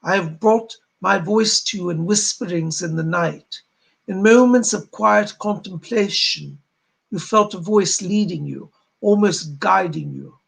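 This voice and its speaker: A man channels a solemn, benevolent male spirit guide. The voice sits unusually high for a man and is husky, with a creaky edge. He speaks in formal, old-fashioned phrases, like a preacher at a séance. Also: measured pace, fairly bright tone, exaggerated voice. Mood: solemn, earnest. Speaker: trance medium speaking as a spirit. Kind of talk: séance address, sermon-like.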